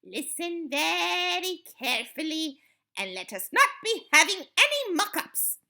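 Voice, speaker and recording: A woman's voice: very high-pitched, very animated, slow, sharp tone, pitched above the performer's own voice, heavily acted. Screeching, tyrannical grand witch. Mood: commanding. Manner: screeching, thundering, barking, imperious. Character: tyrannical, child-hating female chief witch, furious and commanding. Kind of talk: theatrical children's audiobook villain.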